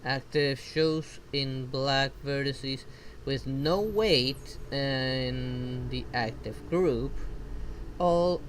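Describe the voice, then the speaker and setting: A man whose first language is not English, reading software documentation aloud slowly and haltingly and breaking off for casual asides. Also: mid-range voice, slight background noise. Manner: slow halting reading with self-interrupting asides. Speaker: vtuber streamer. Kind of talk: reading software docs aloud while learning.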